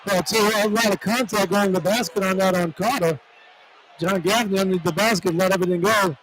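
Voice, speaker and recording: A man with a high-pitched voice works as a radio basketball analyst. He is informal and plain-spoken, offering opinions in a conversational way. Clear arena noise sits behind him. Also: steady tone, fairly quick. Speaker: radio colour commentator. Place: courtside in a college basketball arena. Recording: live arena broadcast with clear background noise. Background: noisy arena ambience.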